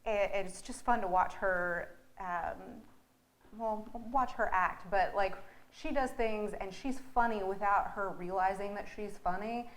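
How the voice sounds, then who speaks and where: A woman's voice: mid-range voice, measured pace. A woman talking casually as a theatre team member being interviewed. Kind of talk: casual chat. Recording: faint background noise.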